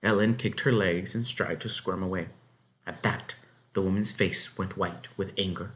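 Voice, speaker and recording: A man reading a children's novel aloud as narrator, in an expressive, dramatic way. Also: sits low, normal speed. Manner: dramatic, animated. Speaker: story reader.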